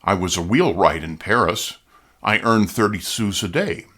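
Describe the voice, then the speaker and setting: An actor playing a poor, bewildered and indignant wheelwright on trial in a radio drama, rambling and pleading with agitation. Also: male voice, fairly low pitch, expressive, normal speed, bright, forceful tone. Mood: agitated pleading.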